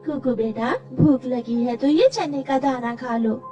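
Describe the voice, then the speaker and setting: A woman's voice: high-pitched, a little slow, warm tone. A woman voicing a caring, practical mother bird in a cartoon story, affectionate and instructive. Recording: distant mic with music behind.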